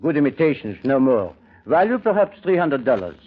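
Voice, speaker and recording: A man's voice: medium pitch, sing-song, normal speed, husky. A man playing a pompous, courtly French crook with a French accent and hesitant, mock-polite menace in vintage radio drama. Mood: oily, polite. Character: suave, menacing French crook, pompously proclaiming his sincerity. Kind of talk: 1940s-50s radio drama acting. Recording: muffled vintage radio broadcast.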